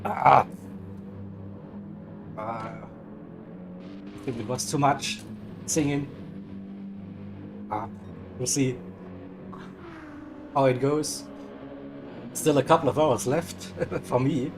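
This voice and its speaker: Hoarse upbeat male race commentator. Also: mid-range voice, steady tone, drawn-out words, long pauses, background noise. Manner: upbeat, laughs often, self-mocking. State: hoarse, voice cracking. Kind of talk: live sim racing commentary.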